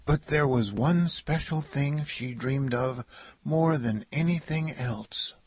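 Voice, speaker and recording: A man's voice: medium pitch, slow, slightly rough, dry recording. A man narrating a children's story as a read-aloud. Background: music.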